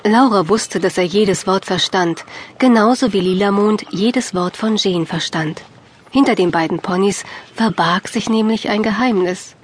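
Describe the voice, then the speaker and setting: A woman narrating a scripted children's audiobook in a measured, clearly phrased storytelling manner. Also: mid-range voice, slight background noise.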